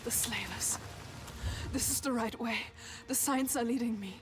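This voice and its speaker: A fairly high-voiced young warrior woman acted by a woman in a dark game drama. She is haunted, intense and defiant, with loud noise behind her. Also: unhurried, lightly acted. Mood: intent. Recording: heavy background noise.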